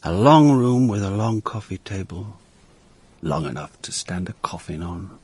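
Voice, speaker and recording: A brooding, observant police inspector in a radio drama. His voice is slightly husky and he speaks in deliberate, clipped phrases. He is brusque, with a wry streak. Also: low-pitched, animated, darkish tone, no echo, exaggerated voice. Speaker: lead actor and narrator. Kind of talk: radio drama acting and first-person narration.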